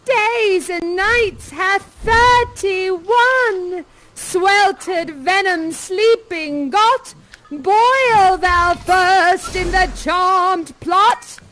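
A woman playing an evil witch, declaiming spells in drawn-out theatrical verse over a cauldron. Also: extremely high pitch, a bit bright, a little hiss or hum, voice pushed up, full character voice, poor audio. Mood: dark incantation. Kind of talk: theatrical Shakespearean verse chant.

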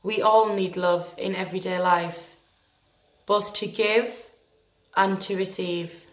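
A woman leading a guided meditation in a gentle, soothing, deliberate manner, leaving long pauses between phrases. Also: lowish voice, steady tone. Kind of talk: guided meditation, scripted narration. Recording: roomy echo with faint music behind.

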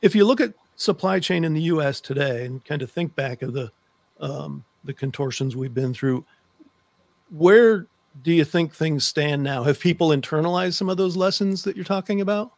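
A man's voice: on the high side, normal speed, creaky, a little mellow, dry recording. Middle-aged American man, dry close-miked sound. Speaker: host. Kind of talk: conversational interviewing. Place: book-lined room indoors, on a video call. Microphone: desk microphone, close.

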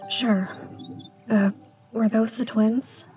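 A woman playing a reserved, uneasy small-town schoolteacher in an audio drama, speaking in a hesitant, hushed way. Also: fairly high pitch, steady tone, slow pace. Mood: uneasy, guarded. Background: music.

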